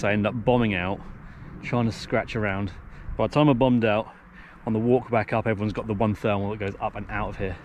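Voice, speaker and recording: A man's voice: lowish voice, slight background noise. A man in his thirties, a paraglider pilot, talking casually and bluntly to an action camera outdoors, swearing and downplaying his own flying. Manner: frank, swearing, self-deprecating. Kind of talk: casual vlog commentary. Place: outdoors on a hilltop, then in flight.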